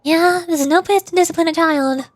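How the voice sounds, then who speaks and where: A woman's voice: very high voice, a little fast, no room sound. A woman voicing a hot-tempered, bossy granny elder in a video game, scolding indignantly. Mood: furious. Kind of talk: video game character acting.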